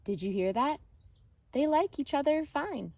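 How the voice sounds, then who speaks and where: A woman voicing a gentle, patient, wise old man in a storybook, plain-spoken and reflective. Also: sits high, lively intonation, measured pace, no room sound, pitched above the performer's own voice. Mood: quietly certain.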